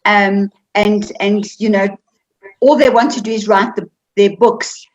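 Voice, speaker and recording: A woman with a medium-pitched voice speaks as a participant in an online seminar. She feels her way through casual questions and comments, hesitating and repeating words as she goes. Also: fairly even intonation, measured pace, rough recording. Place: online video-call seminar.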